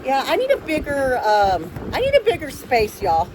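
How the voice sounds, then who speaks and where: A woman with an excitable, gushing way of talking, chatting casually as she hosts an unboxing vlog. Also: normal speed, background noise. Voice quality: high voice when excited. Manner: gushing, effusive, easily excited. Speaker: vlogger, host of an unboxing channel.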